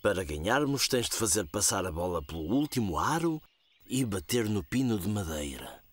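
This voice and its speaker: Deep-voiced genial cartoon grandfather. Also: big pitch swings, normal speed, over music. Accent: European Portuguese. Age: older. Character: genial old grandfather reminiscing with his grandson. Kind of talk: children's cartoon dialogue.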